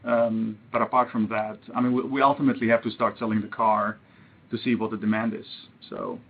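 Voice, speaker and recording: A man's voice: on the low side, level tone, a little fast, creaky. A man speaking off the cuff as a company executive on an earnings call. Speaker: company executive answering questions. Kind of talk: unscripted earnings call answers. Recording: tinny narrowband phone line.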